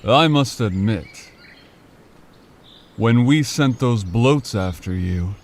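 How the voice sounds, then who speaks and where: An actor playing a smug, vengeful alien gang leader in an audio drama, taunting with mock politeness. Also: male voice, medium pitch, lively intonation, slow pace. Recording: faint background noise.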